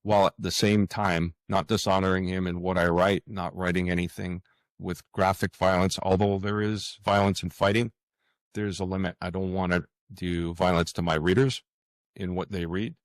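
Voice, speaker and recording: A man with a low, deep voice speaks as a guest in a relaxed interview format. He is earnest and halting, often searching for his words. The sound is dry, with very little room around it. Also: no room sound. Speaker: guest author. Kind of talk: conversational interview answers.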